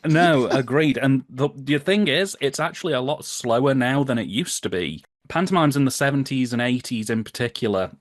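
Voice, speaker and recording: A British man talks as a podcast guest in casual, enthusiastic explanations. His voice sits at a middling pitch and he phrases things colloquially and vividly. The recording is close and dry. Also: lively intonation, moderate pace, hardly any pauses, no room sound. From United Kingdom.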